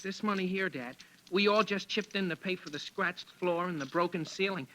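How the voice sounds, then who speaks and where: An actor playing an earnest, excitable teenage boy who stammers, in a 1940s radio sitcom. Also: high-pitched, moderate pace, a little hiss or hum, poor audio. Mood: sheepish, earnest. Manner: stammering, indignant, pleading. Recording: vintage radio broadcast.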